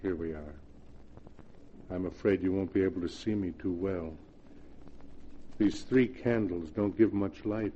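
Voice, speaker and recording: Dead husband's ghost, low, measured, reproachful, 1940s radio drama, muffled and faintly noisy recording. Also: male voice, mellow. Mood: calm, macabre. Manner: measured, mock-polite, matter-of-fact. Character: murdered husband's ghost, calmly reproachful, politely macabre.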